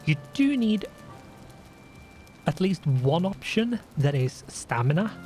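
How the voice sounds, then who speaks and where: A man with a Scandinavian accent, sniffly from a runny nose, talking slowly through a game he plays. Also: high voice, sing-song, warm tone, soft background music. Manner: slow, deliberate, with restarts and fillers. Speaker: gaming channel host. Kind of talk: casual let's play commentary.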